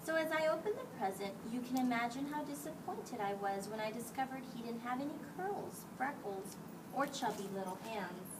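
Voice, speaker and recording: A woman's voice: fairly high pitch, expressive, normal speed. A woman reading a picture book aloud to children as a teacher, expressively and acting out the dialogue. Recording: distant mic, clearly noisy background.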